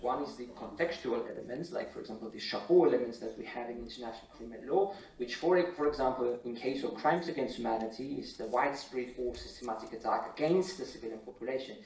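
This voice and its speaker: Halting male academic in an echoey room. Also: medium pitch, a little mellow. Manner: halting, repetitive, non-native English phrasing. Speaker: academic panelist. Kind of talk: informal explanation of law to an audience. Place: indoor conference room. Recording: echoey room, slightly distant mic.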